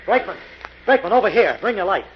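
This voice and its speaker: An actor playing an alert, resolute crime-fighter in a 1940s radio mystery, earnest in manner. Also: male voice, sits high, big pitch swings, fast. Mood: urgent, calling out. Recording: noisy muffled old radio recording.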